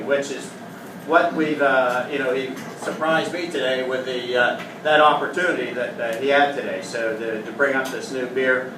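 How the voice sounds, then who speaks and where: A man's voice: mid-range voice, distant mic. A man hosting a beer tasting event, introducing the next speaker with lots of "you know" and "uh". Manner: halting, full of "you know" and "uh". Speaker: event host. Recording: echoey room recorded from afar, noisy.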